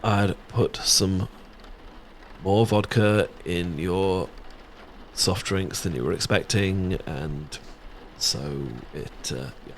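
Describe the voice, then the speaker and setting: A man's voice: sits low, takes it slowly, slight background noise. A British man playing a shy, sweet best friend secretly in love, stammering and hesitant in a romantic ASMR audio roleplay. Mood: sheepish, reminiscing.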